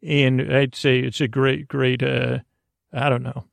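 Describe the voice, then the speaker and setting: A man hosting a sleep podcast in a meandering, halting monologue, whimsical and self-deprecating, stammering and circling back on his words. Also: mid-range voice, flat delivery, gravelly, no room sound. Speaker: host of a bedtime story podcast.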